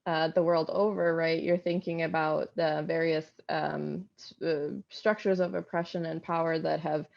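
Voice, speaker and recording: A woman philosopher on a panel, answering questions thoughtfully with frequent pauses and fillers over a video call. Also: low-pitched, level tone, gravelly, muffled recording. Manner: thoughtful, halting, many fillers.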